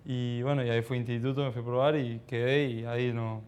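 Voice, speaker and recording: A man of about twenty with an Argentine accent, giving modest, halting answers as a footballer in a casual interview. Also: mid-range voice, level tone, a little mellow, smooth. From Argentina, childhood in Ecuador. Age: around 20. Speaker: professional footballer being interviewed.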